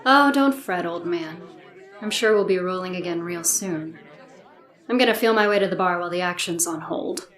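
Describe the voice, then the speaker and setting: A cool noir dame from a 1950s radio play, voiced by a woman. She speaks fairly low with breezy teasing, in an echoey room with a faint crowd behind her. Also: normal speed, fairly bright tone, lightly acted. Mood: teasing, unfazed. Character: cool, teasing noir woman, unfazed bowling-alley drinker.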